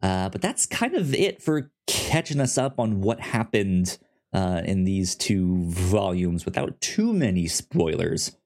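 Young male co-host, low-pitched, heavy stutter on word starts, easygoing chat. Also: big pitch swings, measured pace, a little mellow. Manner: stutters heavily on first sounds. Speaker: podcast co-host. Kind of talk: casual chat.

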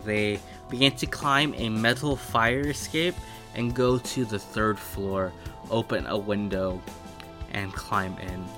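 Slow, low-pitched man running a tabletop game. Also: sharp tone. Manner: halting, piecing descriptions together phrase by phrase. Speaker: game master. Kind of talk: improvised narration of a tabletop roleplaying game. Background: background music.

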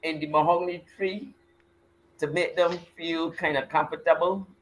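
A man hosts a loose talk show, trading jokes in broad dialect. His voice sits a bit high. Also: fairly even intonation, moderate pace, slight background noise, poor audio. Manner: joking, dialect-heavy banter. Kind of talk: casual chat.

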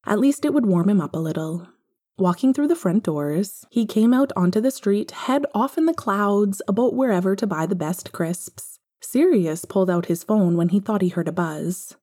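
A woman's voice: medium pitch, expressive, moderate pace, warm tone. A woman reading a story aloud as scripted narration, as a podfic reader.

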